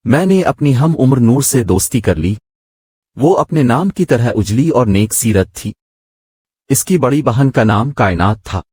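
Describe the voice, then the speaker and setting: A man's voice: medium pitch, mellow, breathy edge, no echo, clean studio sound. A man reading a scripted moral story as its narrator.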